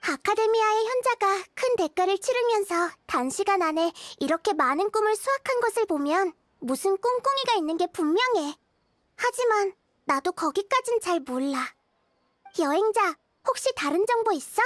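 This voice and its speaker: A woman playing a small, wise child deity in a game, speaking in a calm, measured, thoughtful way. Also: extremely high pitch, no room sound, clean studio sound. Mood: calm, pensive. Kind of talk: scripted game character dialogue.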